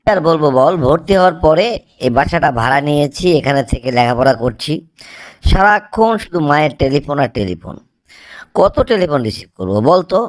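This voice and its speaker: A man acts out a young male student in a homemade cartoon. His voice sits a little high and he delivers his lines with open drama, in a dry, close recording. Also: animated, fairly quick, no room sound, close to an everyday voice. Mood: annoyed, complaining. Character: young male student, impatient, later remorseful son. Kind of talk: amateur cartoon dialogue.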